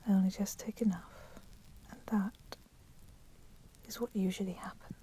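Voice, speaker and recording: A woman voicing a proud, resentful female vampire in a whispered ASMR roleplay, speaking softly and deliberately in formal, menacing phrases. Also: medium pitch, steady tone, lightly acted. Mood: coolly teasing. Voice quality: soft, breathy whispering. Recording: constant crackling fire noise behind voice. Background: crackling fire.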